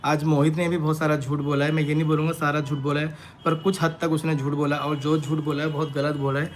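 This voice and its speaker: A man's voice: fairly high pitch, little pitch movement, quick pace. A man speaking unscripted to his followers on a live stream, defensive and emphatic, repeating his points, with some background noise. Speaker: online creator answering accusations. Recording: noisy live-stream audio with background ambience.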